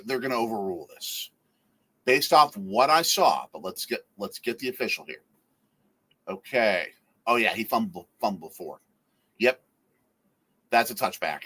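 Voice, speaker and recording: A man hosting a casual watch-along stream, calling the plays in a lively, excitable way. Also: lowish voice. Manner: lively, excitable, laughs often. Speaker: livestream host.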